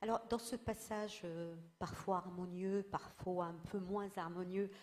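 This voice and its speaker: A woman's voice: on the low side, fairly even intonation, a little slow, darkish tone, big-room sound. A woman moderating a discussion panel, articulate, asking long, carefully built questions.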